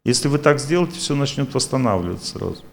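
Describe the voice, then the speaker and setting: A man's voice: medium pitch, animated, quick pace, creaky, a little hiss or hum. A man lecturing an audience and taking their questions, talking in a rambling, repetitive but self-assured way.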